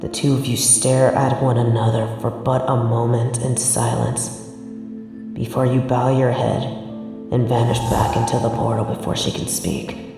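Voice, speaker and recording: A man's voice: medium pitch, flat delivery, moderate pace, gravelly. A man narrating a scripted second-person story as its narrator, with heavy reverb on the voice. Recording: long echoing reverb, music underneath. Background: music.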